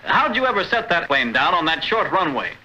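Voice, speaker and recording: A man playing an army air captain in a 1940s training film, explaining landing technique in a brisk, instructive way. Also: fairly high pitch, very animated, slightly rough, dull audio. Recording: old film soundtrack with loud engine noise.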